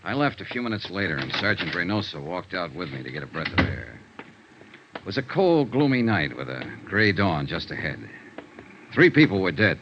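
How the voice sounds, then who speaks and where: An actor playing a world-weary, wry insurance investigator in a vintage radio noir drama, with terse, punchy delivery. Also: male voice, deep voice, sing-song, moderate pace. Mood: grim, sardonic. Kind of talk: 1950s radio noir acting and narration. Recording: dull, noisy old radio recording.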